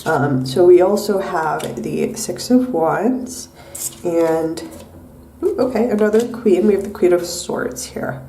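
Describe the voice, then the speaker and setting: A young woman giving a tarot reading in a soft, unhurried way with many pauses and ums, her voice nasal from a cold. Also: lowish voice, far from the mic, slight background noise. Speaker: tarot reader. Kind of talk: pick-a-card tarot reading. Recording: echoey room, mic set back.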